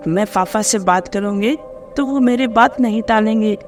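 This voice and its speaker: A man's voice: high-pitched, moderate pace, faint music behind, lightly acted. A man voicing a spoiled, scheming rich schoolgirl in a bossy, entitled way for a cartoon story.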